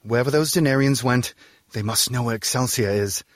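A man's voice: mid-range voice, brisk, no echo, clean studio sound, natural voice. An actor playing a serious underling who guards and questions his villainous master in an audio drama. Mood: grimly questioning.

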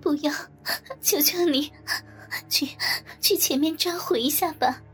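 A woman voicing a frightened, refined married woman who pleads tearfully and haltingly in an audiobook story. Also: sits high, soft background music, pitched above the performer's own voice. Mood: tearful pleading. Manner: tearful, halting, repeating words.

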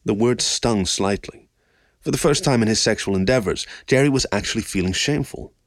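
A man's voice: on the low side, brisk, dry recording. A man reading a scripted story as an audiobook narrator.

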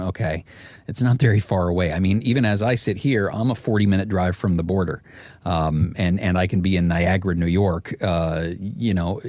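Low-voiced man, polished podcast host. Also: a little mellow, no echo. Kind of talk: audiobook-style narration and podcast hosting.

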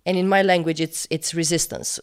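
A woman's voice: on the low side, steady tone, fairly quick. A woman whose first language is Romanian, interviewing a guest with curious, long-winded questions.

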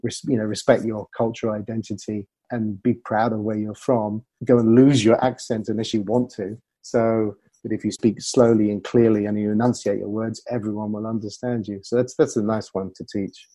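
A man's voice: fairly low pitch, level tone, normal speed, hardly any pauses, mellow, no echo. A man speaking as a communication coach in an interview, explaining things through anecdotes. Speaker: communication coach and former broadcaster.

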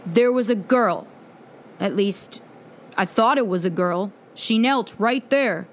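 A woman's voice: mid-range voice, slow, slight background noise, natural voice. A woman voicing a haunted young war veteran in a storybook, casual, laconic and a little hesitant. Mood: hesitant, matter-of-fact.

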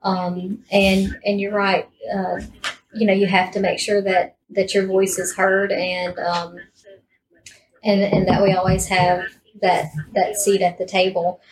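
A woman hosting a conversational interview, speaking in an unhurried way with pauses between her phrases. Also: medium pitch, flat delivery, slight background noise, rough recording. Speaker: podcast host, interviewer.

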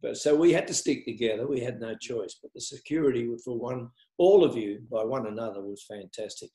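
A man's voice: mid-range voice, fairly quick, dark tone. An older man from Australia, a tennis coach, animatedly reminiscing in conversation with frequent laughs. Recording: echoey room.

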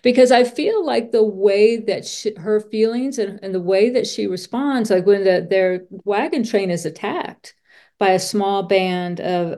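A woman's voice: medium pitch, mellow. A woman podcast host chatting casually in an interview, thinking aloud and often rephrasing as she goes. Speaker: children's author.

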